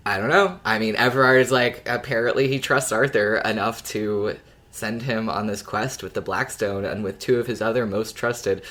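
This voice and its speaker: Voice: mid-range voice, moderate pace, a bit bright. A podcast co-host chatting casually and analytically about a story. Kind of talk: casual fan discussion of an audio drama.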